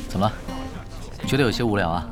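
A man's voice: on the low side, expressive, normal speed, over music, natural voice. An actor playing a laid-back, teasing young male gamer in a Chinese audio drama, with a casual, drawling delivery. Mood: relaxed, curious.

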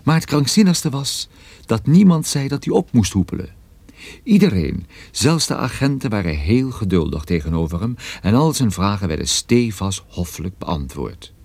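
A man's voice: fairly low pitch, big pitch swings, moderate pace, vocal fry, darkish tone, husky, no room sound, full character voice. An actor playing a wry private detective who narrates his own story in a scripted radio play. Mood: wry, brisk.

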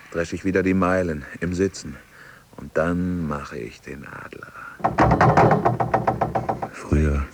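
A man's voice: a bass voice, creaky, heavily acted. An actor playing a brooding, earnestly boastful male gym member, musing in poetic phrases in a radio play.

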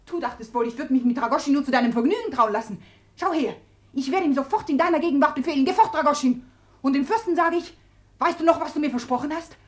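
A woman's voice: sits high, quick pace, close to an everyday voice. An actress playing a wild, mocking young woman. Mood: taunting. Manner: theatrical, swinging between taunting and whispering. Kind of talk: 1950s radio drama acting.

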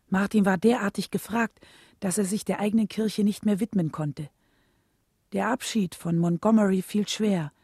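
An actress playing a reflective wife who narrates her husband's struggle in a radio play, with a husky, mellow voice. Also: medium pitch, no echo, studio quality. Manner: reflective, storytelling.

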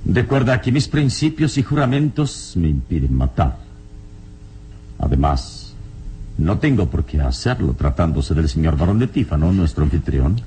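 A noble, serene adventure hero of old radio drama. The voice is very deep and dark, with wide, expressive swings in pitch and a solemn, measured delivery. The old recording carries faint noise and rumble. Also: male voice. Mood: calm, authoritative. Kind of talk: old radio serial acting.

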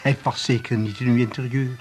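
A man's voice: mid-range voice, steady tone, a little fast, a little mellow, close to an everyday voice. Actor as courtly, insistent man obsessed with icons. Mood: ingratiating, pleading. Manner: formal, flowery, pleading. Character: courtly, insistent, devout male icon collector, ingratiating. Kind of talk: theatrical radio drama dialogue.

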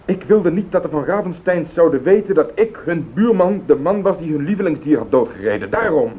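An actor playing a defensive, desperate accused husband in a radio play, protesting and pleading in a Netherlands Dutch accent. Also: male voice, fairly high pitch, expressive, brisk, husky. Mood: sheepish explaining. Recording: muffled, phone-like old radio recording.

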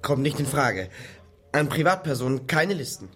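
An actor playing a gruff, sardonic and threatening provincial police lieutenant in a radio crime drama, with curt questioning. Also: male voice, medium pitch, sing-song, normal speed, fairly bright tone, a little hiss or hum. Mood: sardonic, guarded.

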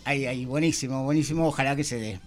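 A man with a Rioplatense Argentine accent, hosting a casual radio chat in a halting way, rephrasing as he goes. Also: medium pitch, steady tone, faint music behind. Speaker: radio programme host.

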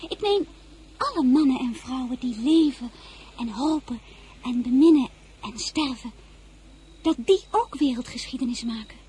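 An earnest, anxious merchant's daughter, high-pitched yet soft and mellow in tone. She hesitates and stammers when frightened, and sounds sincere throughout this radio play. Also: animated, normal speed, long pauses, breathy edge, heavily acted. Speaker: lead actress and narrator. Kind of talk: radio drama acting.

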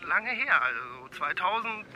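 A very bright, tense man phoning the police in a radio drama, pitched a little high. He halts and corrects himself, unsure of his words. There is background noise around him. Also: sing-song, exaggerated voice. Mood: flustered. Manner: halting, hesitant, self-correcting. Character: hesitant ordinary man phoning police with a tip. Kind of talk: naturalistic radio play acting.

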